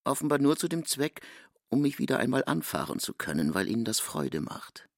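A wry, gravelly newcomer. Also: male voice, mid-range voice, very animated, fairly quick, dry recording, clean studio sound. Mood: wry, measured. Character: wry, composed male newcomer, narrated in third person. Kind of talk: literary narration and dialogue reading.

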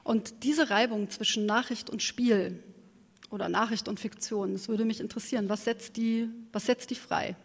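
A woman with a slightly high voice moderates a formal interview. Her phrasing is articulate and prepared, and the room gives her voice a long hall reverb. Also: steady tone, normal speed. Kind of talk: prepared interview introduction and questioning.

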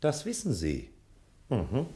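A self-important private detective in an old radio play. His voice is medium-low and swings wildly up and down, theatrical and condescending, pleased with his own cleverness. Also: wildly swooping pitch, slow, warm tone. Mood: sly, triumphant. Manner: pompous, theatrical, chuckling, talks of himself in third person. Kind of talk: mid-century German radio drama acting.

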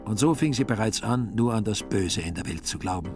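A man's voice: fairly low pitch, lively intonation, fairly quick. A man narrating a literary radio drama in scripted prose. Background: music.

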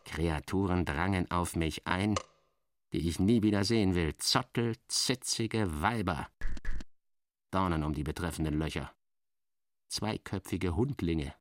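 A man giving a dramatic first-person literary narration as in a radio play, theatrical and sardonic, with expressive shifts of emphasis. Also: sits low, unhurried, a little hiss or hum.

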